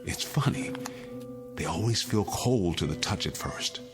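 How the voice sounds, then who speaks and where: A condemned prisoner in an old TV drama, deep-voiced and brooding. His fatalistic delivery swings between low murmurs and rising intensity, with music clearly behind him. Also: animated, a little slow. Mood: haunted, grim. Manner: intense, dwelling on each detail. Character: condemned man haunted by a recurring dream, brooding, fatalistic. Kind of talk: mid-century television drama acting.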